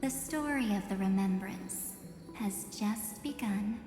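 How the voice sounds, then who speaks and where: An actress playing a calm, enigmatic female spirit in a video game, with measured, cryptic, theatrical delivery. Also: medium pitch, close to an everyday voice. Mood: inviting, mysterious. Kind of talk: video game story voice acting. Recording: echoey hall-like reverb over music.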